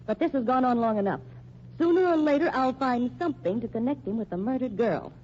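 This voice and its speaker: An actress playing a sardonic, dogged American newspaper reporter in a brash, needling 1940s radio style. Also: female voice, fairly high pitch, lively intonation, a little fast, a little mellow, natural voice. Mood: dogged, forceful. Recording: muffled old radio recording, faint noise.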